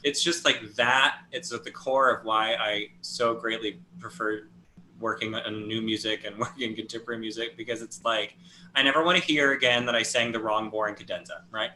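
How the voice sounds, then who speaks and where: Bright, chatty man interviewer. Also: lowish voice, moderate pace, a little hiss or hum, rough recording. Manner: chatty, lively, laughs. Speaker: interviewer, host. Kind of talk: casual interview talk.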